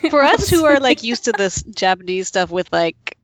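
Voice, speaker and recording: A woman's voice: mid-range voice, very animated, moderate pace, no echo. Woman chatting casually, close dry sound. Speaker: podcast panelist. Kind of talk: casual chat.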